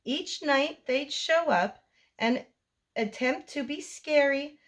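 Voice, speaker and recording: A woman reading a picture book aloud to young children as a storytime host, in an expressive sing-song delivery. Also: sits high, slow pace.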